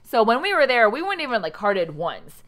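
A woman's voice: a little high, big pitch swings, brisk, a bit bright. A woman co-hosting a podcast in casual chat. She talks loosely, laughs often and swears casually. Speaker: podcast co-host.

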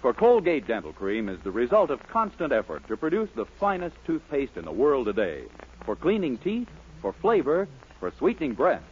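A man's voice: medium pitch, huge pitch swings, normal speed, a little mellow, slightly rough. A man with an American accent announcing on 1940s radio in a polished, emphatic sales delivery. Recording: dull vintage 1940s radio, music behind. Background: music.

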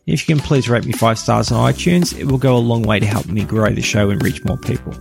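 A man's voice: medium pitch, fairly quick, vocal fry, a little mellow, faint music behind. A man hosting a podcast, reading a scripted review straight to listeners.

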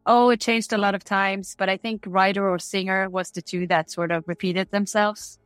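A woman's voice: medium pitch, steady tone, moderate pace, no echo, soft background music. A woman from Norway with a Norwegian accent, answering interview questions in a candid, chatty way. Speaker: interview guest.

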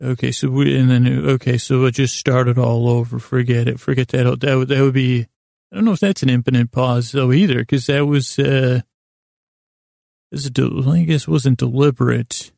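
Male podcast host, creaky mid-pitched voice, dry close sound; meandering, self-deprecating bedtime rambler who keeps interrupting himself. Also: steady tone, darkish tone, no room sound. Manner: halting, meandering, self-interrupting with false starts.

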